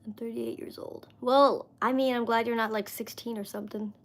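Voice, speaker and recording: A woman in her thirties talks to her viewers in a loose, rambling live-stream chat. Her voice sits a touch high for a woman, and her sentences wander and restart, with plenty of "like" and "you know" in between. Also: fairly even intonation, normal speed. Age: 30s. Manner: rambling, chatty, full of "like" fillers.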